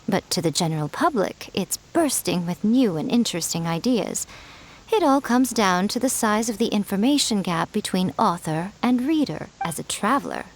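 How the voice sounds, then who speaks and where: A woman's voice: on the high side, low-quality recording. An actress voicing a sly, self-assured fox-spirit woman in a video game, teasing and worldly as she mentors. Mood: instructive. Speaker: publisher and mentor.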